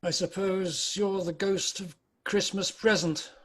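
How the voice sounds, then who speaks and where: Man acting old miser, high-pitched voice, frightened, stubborn, amateur drama table read. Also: fairly even intonation, a little slow, fairly bright tone, slightly rough, full character voice.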